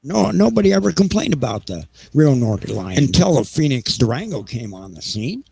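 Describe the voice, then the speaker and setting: A man acts out a petulant, evasive railroad boss in an audio play. The voice is creaky and husky, recorded dry and close. He stammers and blusters, and his pitch swings widely. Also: big pitch swings, normal speed, darkish tone, dry recording, full character voice. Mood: evasive, irritated.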